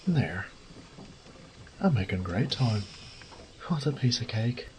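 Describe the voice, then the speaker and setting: A cocky male thief acted by a man mutters wryly to himself in a low voice, swearing casually. He takes his time over his words in this audio roleplay, with clear ambient noise behind him. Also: big pitch swings, slow. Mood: confident, focused. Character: cocky male thief, wry and foul-mouthed, talking to himself. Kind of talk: solo audio roleplay acting.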